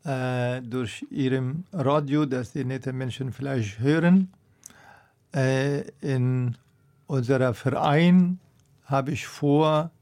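Man, Persian accent, middle-aged, unhurried, halting speech, radio guest. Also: medium pitch, a little mellow. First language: Persian (Dari). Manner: hesitant, searching for words, frequent ums. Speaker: doctor, interview guest. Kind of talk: radio interview answers.

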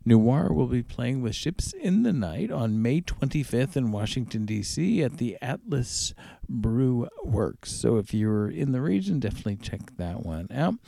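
Mellow male radio DJ with gravelly edge. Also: medium pitch, big pitch swings, a little slow. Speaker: radio DJ and show host. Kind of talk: radio music show back-announcing of tracks.

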